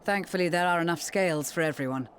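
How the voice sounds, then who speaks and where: A composed fantasy game leader, voiced by an actor, with a mid-pitched voice. The delivery is formal, measured and dutiful, with faint ambience behind. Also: a little hiss or hum, lightly acted. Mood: calm, grave. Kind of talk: scripted fantasy game acting.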